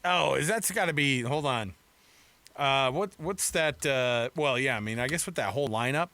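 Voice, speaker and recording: A man's voice: medium pitch, lively intonation, moderate pace, bright, forceful tone. A middle-aged American man hosting sports radio in a casual, chatty, rambling way from a studio mic. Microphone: close studio microphone.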